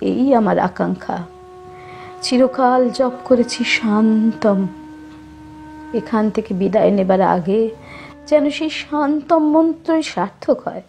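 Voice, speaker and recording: A woman's voice: fairly high pitch, measured pace, creaky, pauses often, darkish tone, a little hiss or hum. A woman reciting literary prose as a reader. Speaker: reader of a poet's words.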